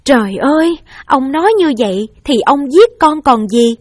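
A woman's voice: high-pitched, lively intonation, normal speed, no room sound, clean studio sound, pitched above the performer's own voice. A woman voicing a distraught middle-aged mother who pleads and takes the blame for her child. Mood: desperate. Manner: desperate, pleading.

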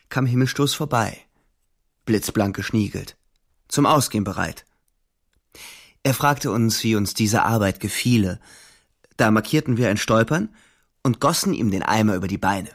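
A man's voice: lowish voice, normal speed, pauses often. An actor playing a hardened young soldier who narrates his war in the first person in a German radio drama. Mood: bitter, wry. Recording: loud music behind the voice.

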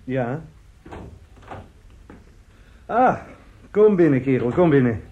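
A man's voice: medium pitch, animated, slow, slight background noise. An actor in a Dutch radio play as an older, fatherly newspaperman, chatty and self-satisfied, repeating his words. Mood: jovial, hearty. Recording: muffled old radio play recording.

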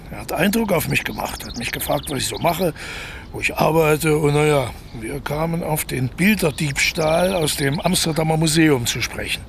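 An actor playing a wry, evasive ex-convict and failed actor in a naturalistic radio crime drama, reticent and resigned in manner. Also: male voice, on the high side, creaky, a little hiss or hum. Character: Franz, wry, evasive failed actor turned art thief, male.

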